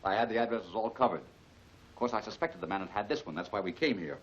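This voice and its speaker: A man's voice: on the low side, fast talker, close to an everyday voice. An actor playing a confident, unruffled male crime-fighting hero in a 1940s film serial, speaking with assurance. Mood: calm, assured. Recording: muffled 1940s film soundtrack with music.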